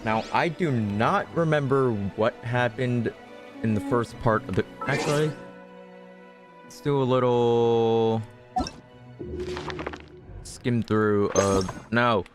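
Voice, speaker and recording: A man's voice: medium pitch, takes it slowly, pauses often. A man giving casual gameplay commentary as a streamer, thinking aloud with wry, sarcastic asides. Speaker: game streamer. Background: game music.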